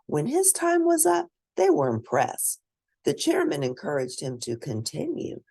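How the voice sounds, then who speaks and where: A woman reading a children's picture book aloud as host, in expressive, deliberate storytelling. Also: deep voice, breathy edge.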